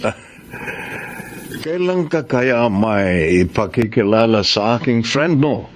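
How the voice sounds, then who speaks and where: A man's voice: medium pitch, animated, slow pace, background noise. An actor playing a hesitant, pleading businessman in a radio drama, coaxing and mixing in English. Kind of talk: Tagalog radio drama acting.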